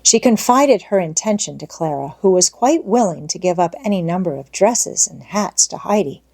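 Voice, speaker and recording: A woman's voice: lowish voice, animated, normal speed, gravelly, warm tone. A woman reading a children's storybook aloud as an audiobook narrator.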